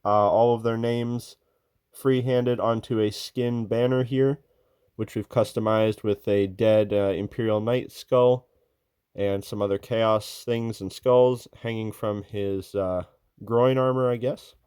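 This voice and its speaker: A man with a smooth, clean voice recorded close and dry, talking his viewers through a big hobby project. He rambles cheerfully with plenty of ums and uhs. He is a little sick and starting to lose his voice. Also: lowish voice, fairly even intonation, takes it slowly, darkish tone, no echo. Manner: rambling, filler-heavy, enthusiastic. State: losing his voice, a bit sick. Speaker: miniature hobby channel host. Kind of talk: casual show-and-tell walkthrough.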